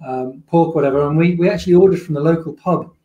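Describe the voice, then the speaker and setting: A man's voice: fairly high pitch, fast, narrow, tinny audio. A British man co-hosting a podcast, chatting casually and telling anecdotes with frequent restarts. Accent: British English. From Britain. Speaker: podcast co-host. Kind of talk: casual conversation.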